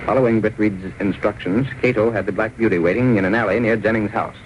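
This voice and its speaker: A man's voice: fairly low pitch, fairly even intonation, fast. A man with an American accent delivering dramatic scripted narration in the style of 1930s radio. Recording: muffled old radio recording with hiss.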